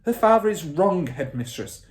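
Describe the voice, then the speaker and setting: A man's voice: sits high, sing-song, normal speed, pitched above the performer's own voice. A man voicing an earnest, flustered female primary school teacher in a children's storybook, pleading and protesting. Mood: insistent protest. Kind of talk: children's storybook character reading.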